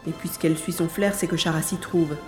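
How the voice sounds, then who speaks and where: A woman's voice: low-pitched, steady tone, a little fast, natural voice. A woman acts a young boy on a rescue mission in an audio drama. He is determined and thinks aloud, describing each move. The voice sits in a roomy echo with music around it. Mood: alarmed. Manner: thinks aloud, narrating own actions.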